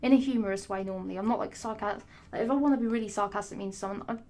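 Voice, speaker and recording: A young woman, her voice hoarse, rambling sassily to camera with frequent swearing and restarts. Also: medium pitch, rapid-fire, a little mellow. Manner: rambling, sassy, swears often, many restarts. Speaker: vlogger. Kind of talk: rambling casual monologue.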